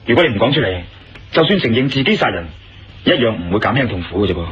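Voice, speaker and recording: A man's voice: on the low side, big pitch swings, fast talker, a little hiss or hum. A man with a Hong Kong Cantonese accent playing a persistent, probing investigator in a radio drama. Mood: reasoning, persuasive. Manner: probing, persuasive. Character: male investigator, persistent, reasoning, questioning a suspect. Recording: lo-fi radio sound with music behind.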